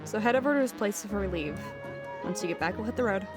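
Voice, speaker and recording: A woman's voice: medium pitch, animated, fast talker, over music, natural voice. A woman voicing a brash, teasing young adventurer in an audio roleplay. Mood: frank, brisk.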